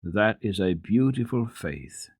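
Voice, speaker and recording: Man playing a grave, kindly doctor, husky low voice. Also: animated, slow, no echo, clean studio sound, heavily acted. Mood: grave, moved. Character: sad, gentle, thoughtful gentleman doctor.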